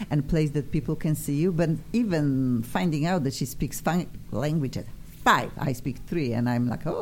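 Effusive low-voiced Polish-accented woman host. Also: a little mellow, slight background noise. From Poland. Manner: effusive, enthusiastic, gushing praise. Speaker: radio talk show host. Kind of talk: live radio talk show chat. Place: radio studio.

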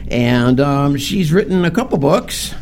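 A man hosting a radio show in casual, jokey banter, halting and repeating himself as he goes. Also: on the high side, expressive, creaky, a little mellow, slight background noise. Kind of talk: casual on-air banter and guest introduction.

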